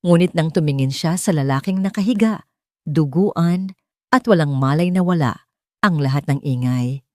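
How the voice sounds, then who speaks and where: A woman narrating a scripted audio drama with measured, dramatic delivery. Her voice is lowish and mellow, recorded close and dry. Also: dry recording.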